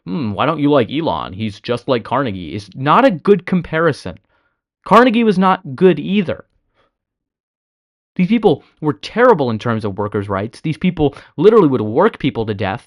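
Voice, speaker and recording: Blunt, exasperated man reacting with frequent swearing. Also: a little high. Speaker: reaction video host. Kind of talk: casual reaction commentary.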